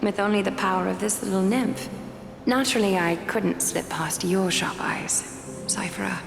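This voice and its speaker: Game matriarch, female actor: centuries-old dignified plotter, solemn, measured, regretful, long hall reverb, music underneath. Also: medium pitch, steady tone, vocal fry, lightly acted. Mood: wistful, composed. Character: regretful, dignified, ancient female schemer and leader. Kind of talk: video game character acting.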